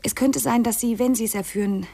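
An actress playing a gentle, secretive aunt in a 1950s German radio play, speaking in a soft, hushed voice. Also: female voice, on the high side, steady tone, quick pace. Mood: anxious, soothing. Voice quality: hushed, breathy. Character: gentle, secretive aunt by marriage, softly spoken woman.

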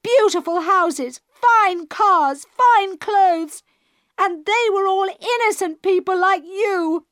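A woman voicing an angry twenty-year-old female hijacker in a read-aloud story, her voice rising high and shrill. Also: fairly bright tone, no room sound, studio quality.

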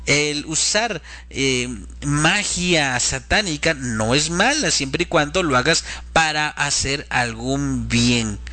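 A man with a fairly high voice and a very bright, forceful tone delivers a religious talk. He sounds earnest and instructive, addressing his listener directly as a middle-aged teacher of the faith would. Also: lively intonation, slow pace. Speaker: religious programme host. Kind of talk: religious talk warning against children's cartoons.

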